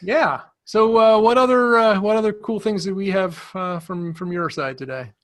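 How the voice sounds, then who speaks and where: A man co-hosting a podcast in casual chat, relaxed and joking, with lots of fillers. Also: high-pitched, moderate pace. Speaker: podcast co-host.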